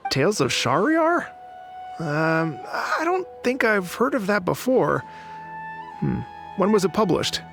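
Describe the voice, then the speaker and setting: Animated, polite bookshop clerk. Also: female voice, mid-range voice, slow pace, vocal fry, music in the background, full character voice. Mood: puzzled. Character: polite, eager bookshop clerk, helpful but easily flustered. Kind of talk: anime-style video game voice acting.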